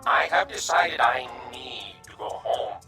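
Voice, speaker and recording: A man playing a gentle, noble insect-like alien in a radio drama, speaking formally and earnestly in a breathy half-whisper. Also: medium pitch, expressive. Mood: solemn, resolved. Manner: formal, measured, stilted speech without contractions. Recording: echoing voice with music behind.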